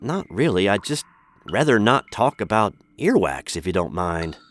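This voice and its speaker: A man's voice: lowish voice, big pitch swings, normal speed. A man playing an exasperated, awkward American captive in a radio sitcom, polite but indignant, with an American accent. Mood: uneasy, reluctant. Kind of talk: comedy radio sitcom acting.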